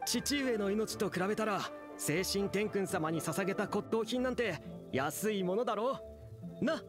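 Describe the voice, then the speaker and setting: Gullible devoted villager, high voice, awestruck, earnest, anime game, music underneath. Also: male voice, expressive, a little slow. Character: fervent male villager, gullible devoted believer. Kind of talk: Japanese anime game acting.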